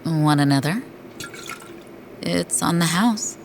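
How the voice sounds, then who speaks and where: Woman acting as low, unhurried female bartender. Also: vocal fry, slight background noise. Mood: casual, friendly. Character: flirty, easygoing female bartender, fond of her regular. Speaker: voice actor in audio drama. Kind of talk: audio drama dialogue.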